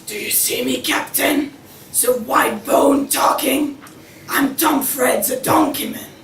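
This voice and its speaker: A woman's voice: sits high, slow, a little hiss or hum. A young woman playing the wistful ghost of a drowned sailor in a table read, recorded from afar. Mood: haunting. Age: young adult. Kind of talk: table read of a radio play. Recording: echoey room, distant mic, background murmur.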